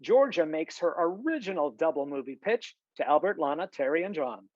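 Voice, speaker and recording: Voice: mid-range voice, animated, a little fast, darkish tone, dry recording. A slightly dull, dry video call recording of a narrator with a mid-range voice. The delivery is scripted narration, reading out stage directions for a screenplay.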